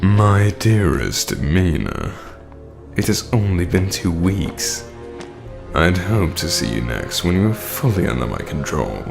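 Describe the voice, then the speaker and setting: Audio drama vampire lord: very low, slow, gravelly, smugly theatrical menace. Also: male voice, sing-song, music in the background, full character voice. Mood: smug gloating.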